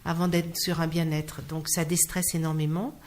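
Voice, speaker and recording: A woman's voice: low voice, moderate pace. A woman from Clermont-Ferrand in France speaking as an interview guest, telling anecdotes expressively and acting out dialogue. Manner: expressive storyteller, acts out quoted dialogue. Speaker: interview guest, singer working in hospitals. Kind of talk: animated anecdotal interview talk.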